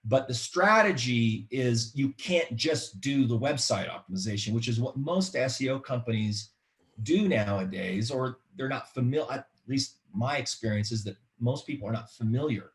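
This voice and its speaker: Middle aged american guy presenting on a webinar from home, casual, kinda halting, repeats himself. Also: medium pitch, expressive. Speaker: webinar guest presenter, marketing expert. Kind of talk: informal explanatory webinar talk. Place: home room, indoors.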